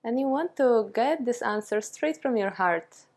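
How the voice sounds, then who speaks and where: Slow-paced woman guiding a meditation. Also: a little high. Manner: calm, soothing, with long pauses between short phrases. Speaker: yoga and meditation teacher. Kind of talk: guided meditation.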